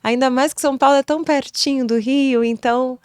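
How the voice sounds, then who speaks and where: A woman from Rio de Janeiro with a carioca accent, a singer guest who speaks in slow, soft, poetic phrases and leads a calm prayer. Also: high voice, clean tone, dry recording, studio quality. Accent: Rio de Janeiro Brazilian Portuguese. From Rio de Janeiro, Brazil. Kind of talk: leading a prayer, then interview answers.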